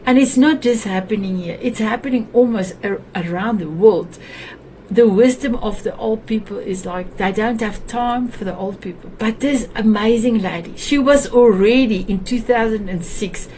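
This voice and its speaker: A woman's voice: medium pitch, moderate pace, darkish tone, slight background noise, poor audio. A woman from South Africa with an Afrikaans accent, hosting a talk show in a chatty, hesitant way with many restarts. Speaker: show host. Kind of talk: warm casual talk on a video call show.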